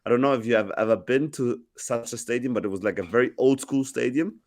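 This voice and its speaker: A man who is sick and sounds deeper than usual, telling a rambling story on a casual podcast with many restarts. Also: quick pace, a little mellow, no room sound, clean studio sound. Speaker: podcast co-host.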